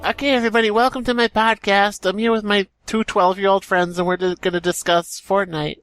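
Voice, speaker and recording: A man playing a whiny, excitable twelve-year-old boy in a podcast parody. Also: high-pitched, a little fast, soft background music, voice pushed up, low-quality recording. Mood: childish, earnest. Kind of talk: mock kid podcast parody.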